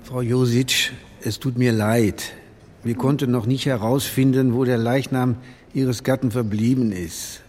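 A male actor as a detective chief in a radio crime drama, blunt and drily ironic. He probes in a medium-pitched voice that rings out with long reverb. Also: fairly even intonation, a little slow, darkish tone, natural voice. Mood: probing. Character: male police detective chief, brusque, probing, dry.

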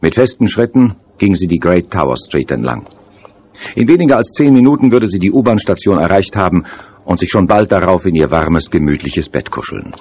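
A man's voice: low-pitched, lively intonation, a little fast, a little mellow. A man narrating a suspense radio play in a scripted, dramatic storytelling style. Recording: dull sound with faint noise.

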